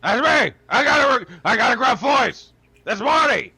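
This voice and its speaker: A man playing a gruff old suitor with a gravelly voice, courting a woman. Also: extremely high pitch, animated, normal speed, bright, forceful tone, full character voice, poor audio. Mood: smarmy. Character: gruff old male suitor named Morty.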